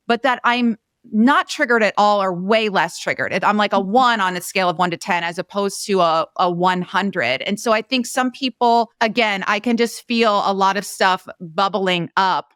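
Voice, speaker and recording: A woman's voice: medium pitch, fairly bright tone, clear, resonant tone, dry recording, clean studio sound. A woman hosting an interview in a casual, conversational style. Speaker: podcast host.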